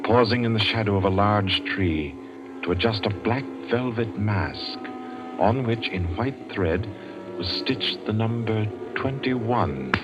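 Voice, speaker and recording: A man narrating a suspense radio drama in a measured, deliberate old-time storytelling style. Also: fairly low pitch, lively intonation. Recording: dull vintage radio recording with music.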